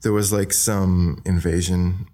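A young man from Australia with an American accent, talking softly and hesitantly as a podcast guest, with many fillers. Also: low voice, level tone, slow pace. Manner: soft-spoken, hesitant, many ums and likes. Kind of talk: casual interview talk.

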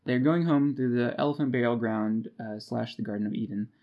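A man with a mid-pitched voice talks as a podcast co-host. He retells a book in a loose, conversational style. His delivery is informal, with wry little jokes along the way. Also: a little fast, highs cut off. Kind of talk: casual book discussion with plot recap.

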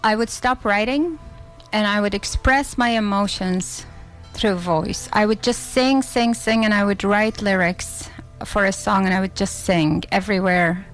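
A woman's voice: medium pitch, measured pace, soft background music, rough recording. A woman from Turkey, a guest at a podcast interview, earnest and searching for words. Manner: earnest, searching for words, self-taught English. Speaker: film festival organizer. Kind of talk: casual interview.

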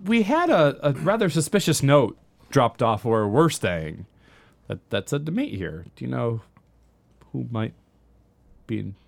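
A man's voice: medium pitch, very animated, a little slow, gravelly, pauses often. A man playing a tabletop game, chatty and hesitant, often laughing. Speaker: player. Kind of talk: casual in-character play.

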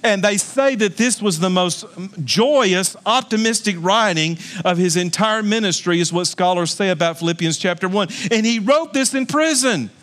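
Man in his fifties, pastor, high voice, bright forceful tone, emphatic sermon with jokey asides. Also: normal speed. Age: 50s.

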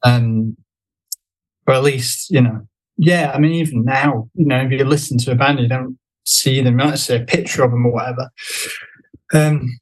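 A man with a middling-pitched voice, the hesitant, musing guest on a laid-back creative podcast. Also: normal speed, poor audio. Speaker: podcast guest, graphic designer. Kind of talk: casual chat.

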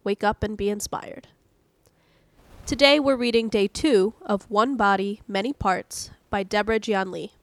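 A woman hosting a podcast, reading an audio devotional in a calm, measured, earnest way. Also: mid-range voice. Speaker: devotional podcast host and reader.

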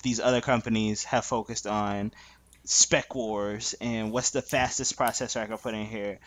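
A man's voice: fairly low pitch, fairly even intonation, normal speed, a bit bright. A man co-hosting a podcast, chatting informally and thinking aloud as he goes. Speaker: podcast co-host. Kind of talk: casual chat.

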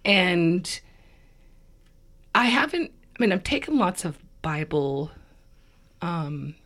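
A woman co-hosting a podcast, hoarse and raspy from laryngitis, thinking aloud in a rambling, casual way. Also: lowish voice, big pitch swings, slow pace, fairly bright tone. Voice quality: raspy, froggy voice. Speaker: podcast co-host. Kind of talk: casual conversation.

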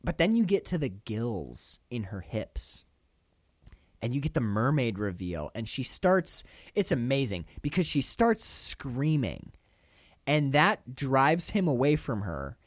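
A man co-hosting a casual film podcast. He talks excitably, swears freely, often laughs mid-sentence, and his voice jumps up high when he gets worked up. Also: slow, no echo, clean studio sound. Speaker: podcast co-host. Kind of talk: casual film-discussion chat.

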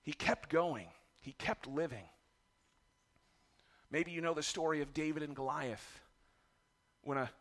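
A middle-aged man delivers a sermon in a raspy, strained voice. He speaks high and bright, candid and self-deprecating about raising his children. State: raspy voice from yelling. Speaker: pastor.

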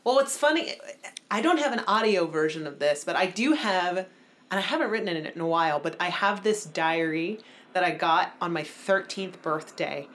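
A woman in her thirties co-hosting a casual chat podcast. She reads out dates with lively emphasis. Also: on the low side, normal speed, slight background noise. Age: 30s. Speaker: podcast co-host.

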